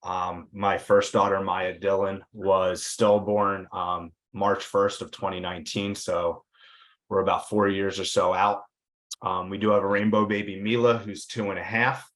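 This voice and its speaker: A man from Philadelphia opening a seminar, soft-spoken and hesitant, with many fillers, heard over a video call. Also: low voice, level tone, a little slow. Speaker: seminar presenter.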